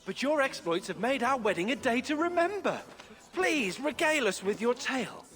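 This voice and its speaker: Very high-pitched, grateful villager man. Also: big pitch swings, normal speed, a bit bright, faint music behind, full character voice. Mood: delighted, admiring. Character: excited, grateful wedding villager. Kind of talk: video game cutscene dialogue.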